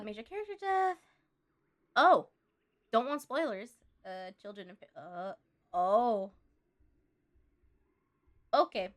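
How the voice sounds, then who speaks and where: Chatty high-voiced woman streaming a game. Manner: chatty, reactive, mumbles asides to herself. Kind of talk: casual game commentary, reading on-screen text aloud.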